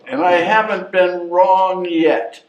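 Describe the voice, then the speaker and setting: A man's voice: high voice, slow pace, slight background noise. A man playing a chatty, know-it-all newborn baby in a readers theatre comedy. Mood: cocky. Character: cocky, chatty newborn baby, sure of being a girl. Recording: echoey, muffled stage recording from far off.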